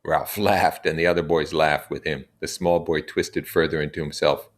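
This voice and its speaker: A man's voice: a bass voice, level tone, normal speed. A man reading a book aloud expressively, adding casual comments as he goes. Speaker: reader.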